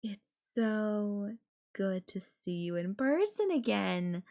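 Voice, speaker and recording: A woman playing an obsessive, possessive girlfriend in an intimate roleplay audio drama, sweet but menacing, with breathy cooing. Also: medium pitch, sing-song, slow pace, dark tone. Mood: sweet, clingy. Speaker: voice actor.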